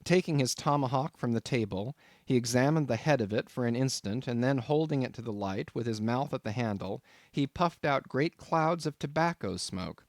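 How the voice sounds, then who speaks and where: A man's voice: medium pitch, animated, moderate pace, hardly any pauses, no room sound, studio quality. A man reading a literary novel aloud as scripted audiobook narration. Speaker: audiobook reader.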